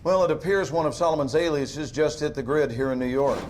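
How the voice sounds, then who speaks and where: An actor playing a calm male government interrogator who questions in a measured, dry, slightly sarcastic way. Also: on the high side, a little hiss or hum, lightly acted, rough recording. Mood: cool questioning. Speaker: interrogating agent.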